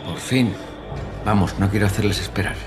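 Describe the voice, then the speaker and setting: An actor with a Castilian Spanish accent playing a cocky, calm, menacing imprisoned killer in a radio drama, smug and falsely courteous. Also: male voice, on the low side, moderate pace, over loud music, natural voice. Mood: smug, eager.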